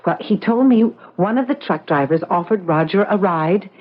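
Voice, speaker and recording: An actress playing an anxious, distraught wife searching for her husband in a 1970s radio drama, speaking in a halting, urgent way. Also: on the low side, lively intonation. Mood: earnest, urgent. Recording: dull old radio recording with music.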